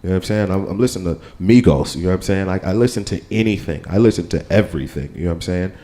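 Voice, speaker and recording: A man with a low voice and an American accent, speaking slowly and thinking aloud at a live panel. His tone is warm and mellow, and he keeps asking whether you follow him. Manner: rambling, keeps saying "you know what I'm saying". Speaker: panel guest, percussionist. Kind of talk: casual panel talk. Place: live radio studio with audience.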